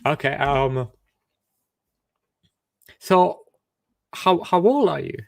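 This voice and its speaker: Halting, chatty man on a video chat. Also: on the high side, lively intonation, gravelly. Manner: halting, stumbles and restarts often; chatty, curious questioning. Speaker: online video chat host. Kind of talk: casual chat with a stranger.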